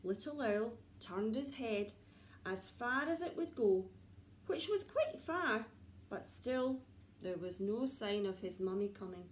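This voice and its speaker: A woman reading a story to young children like a nursery teacher, with dramatic pauses and repeated words for suspense. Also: a little high, lively intonation, a little hiss or hum. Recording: echoey room.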